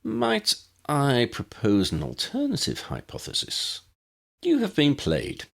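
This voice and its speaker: A man voicing an erudite, unflappable old wizard in a fantasy audiobook, measured and pedantic with a needling edge. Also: medium pitch, wildly swooping pitch, vocal fry, fairly bright tone, voice pushed up, heavily acted. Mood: probing, mocking. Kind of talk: fan-fiction audiobook character voice.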